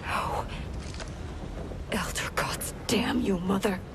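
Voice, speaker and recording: An actress playing a tense, troubled warrior princess in a video game. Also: female voice, mid-range voice, takes it slowly, creaky. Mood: urgent. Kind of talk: video game cutscene acting. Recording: game cutscene with noisy ambience.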